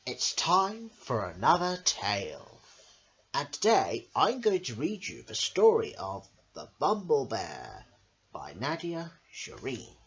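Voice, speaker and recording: A woman's voice: very deep voice, wildly swooping pitch, slow, dull audio. A woman hosting a children's storytime, reading expressively and addressing young listeners directly. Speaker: storyteller and host.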